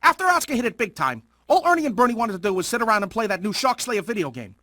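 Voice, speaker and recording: High-voiced nervous young shark. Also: male voice, sing-song, fast talker, sharp tone, no echo, studio quality, exaggerated voice. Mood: grumbling. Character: nervous, gentle young male shark on the run. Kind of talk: animated video game confessional.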